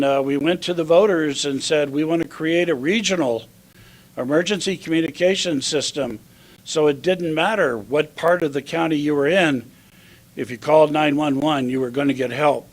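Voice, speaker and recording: Male civic official, slightly high-pitched, deliberate phrasing, addressing a press announcement. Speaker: county commissioner. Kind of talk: public speech at a press announcement.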